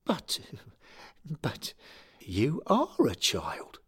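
A man voicing a gentle 92-year-old manager who speaks softly and hesitantly, stammering at times. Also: medium pitch, huge pitch swings, drawn-out words, breathy edge, pitched above the performer's own voice, exaggerated voice. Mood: flustered. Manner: hesitant, soft, stammering, fatherly.

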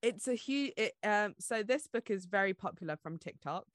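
A woman's voice: mid-range voice, expressive, moderate pace, no echo, studio quality. A woman co-hosting a podcast, chatting casually about books. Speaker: podcast co-host. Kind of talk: casual book chat.

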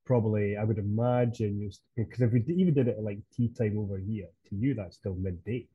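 A man's voice: on the low side, brisk, slightly rough, dry recording, narrow, tinny audio. A Scottish man co-hosting a podcast, chatting casually and rambling between topics. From Scotland. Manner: rambling, chatty, laughs easily. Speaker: podcast co-host. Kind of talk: casual chat.

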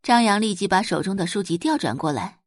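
A woman's voice: mid-range voice, animated, a little fast, no echo, clean studio sound. A woman narrating a scripted audiobook story as its storyteller.

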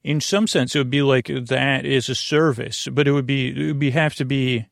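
A man's voice: mid-range voice, fairly even intonation, moderate pace, creaky, no room sound, studio quality. A man hosting a bedtime podcast in a meandering, hesitant, slightly goofy monologue that keeps circling back on itself. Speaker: podcast host.

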